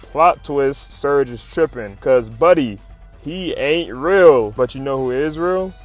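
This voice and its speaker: A young adult American man giving an excitable, casual recap as a commentator, in a slangy, playful fan style. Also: mid-range voice, slow, faint music behind. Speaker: YouTube comic commentator.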